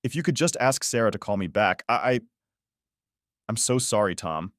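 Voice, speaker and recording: A man's voice: fairly low pitch, expressive, a little fast, a bit bright, no echo, clean studio sound. A man playing a guilty male lover in an audiobook drama, strained, hesitant and pleading. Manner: hesitant, stammering, urgent.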